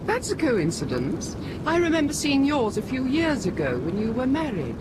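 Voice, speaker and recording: A woman's voice: wildly swooping pitch, moderate pace. An actress playing a cool, cutting stewardess in her twenties, clipped and self-possessed, in a 1970s radio drama. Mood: icy. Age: 20s. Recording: old radio recording, heavy rumble.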